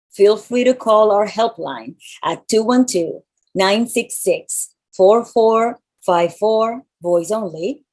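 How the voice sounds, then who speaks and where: A woman with a mild Spanish accent and a deep voice reads welcome notes at a measured pace. She sounds like a host on a video call, speaking from a large room. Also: darkish tone. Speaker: event host. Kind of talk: reading scripted welcome announcements.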